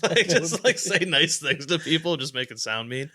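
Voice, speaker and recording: A man's voice: a little high, lively intonation, moderate pace, sharp tone. A man hosting a podcast in casual, joking group banter. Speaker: podcast host.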